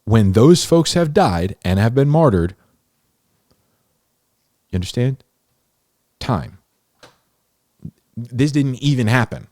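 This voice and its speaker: A man's voice: medium pitch, animated, takes it slowly, pauses often, a little mellow, no room sound, studio quality. A man giving informal, unscripted Bible teaching in a conversational, emphatic way, repeating key phrases for stress. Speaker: host of a Bible-explainer series, teacher.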